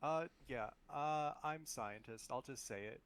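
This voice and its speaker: A man's voice: mid-range voice, a little slow, a bit bright, smooth. A man talking casually as he discusses a round of an online game. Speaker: player in a group online game.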